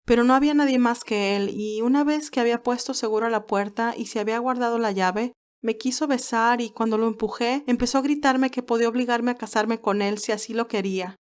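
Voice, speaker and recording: A feisty, sweet young nurse from a romantic audio story, acted out by a woman. She speaks in a girlish, expressive way, with plenty of emphasis and feeling. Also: on the high side, fairly quick, few pauses, smooth, lightly acted. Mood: upset, tearful. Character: Candy, sweet, feisty young nurse, easily moved and teasing.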